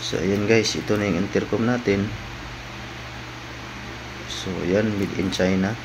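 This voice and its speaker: Low-voiced man over clear background noise. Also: steady tone. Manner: informal, halting, often tags phrases with "no?". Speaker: vlogger. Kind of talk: casual unboxing vlog narration.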